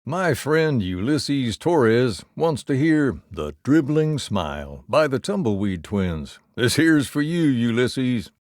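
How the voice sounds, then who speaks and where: Folksy cowboy radio DJ, swinging drawl. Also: male voice, medium pitch, very animated, takes it slowly, hardly any pauses, a little mellow, no echo, clean studio sound. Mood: easygoing, cheerful. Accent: American Southern or Western. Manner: folksy drawl, wry, song-announcer cadence. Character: folksy old cowboy country radio DJ, wry and easygoing. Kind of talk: scripted country radio DJ patter.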